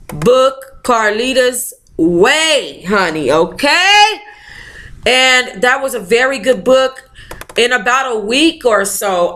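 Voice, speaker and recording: A woman with a fairly high-pitched, sharply bright voice hosts a book club. She reads aloud like an audiobook narrator but stays casual and chatty. Her delivery is sassy, informal and expressive. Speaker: book club host reading a memoir aloud. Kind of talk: audiobook-style reading with casual, chatty asides.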